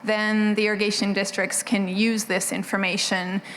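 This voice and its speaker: Soft-spoken woman presenting in a hall. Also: medium pitch, little pitch movement, moderate pace, a little hiss or hum. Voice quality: quiet voice. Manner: soft-spoken, conversational, frequent ums and restarts. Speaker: conference presenter. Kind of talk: conference presentation. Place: conference meeting room, indoors. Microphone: podium mic on a stand. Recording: reverberant conference hall.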